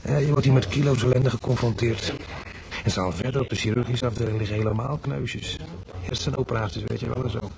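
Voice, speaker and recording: A man's voice: mid-range voice, fast talker, creaky, background noise, close to an everyday voice. An actor playing a blunt, teasing married man who is a hospital patient, in a naturalistic radio drama. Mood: complaining.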